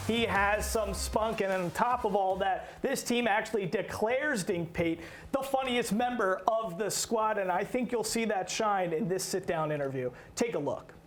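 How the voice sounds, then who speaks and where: High-pitched male sports show host. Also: moderate pace, hardly any pauses. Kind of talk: broadcast hosting and interview. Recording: music bed behind the voice.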